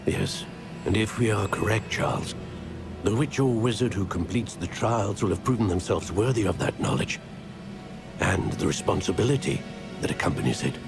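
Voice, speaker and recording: An actor playing a grave, wise older wizard professor in a fantasy video game, with a creaky voice. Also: male voice, lowish voice, animated, normal speed, over music. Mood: grave, measured.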